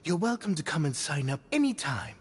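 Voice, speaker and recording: An actor playing an inviting recruiter handing out flyers in a video game. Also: male voice, fairly high pitch, big pitch swings, fairly quick.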